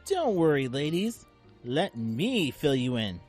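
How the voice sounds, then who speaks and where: Woman doing a loud know-it-all teen girl, bouncy voice, music under. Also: low-pitched, sing-song, slow pace, full character voice. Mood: excited. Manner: brash, enthusiastic explaining.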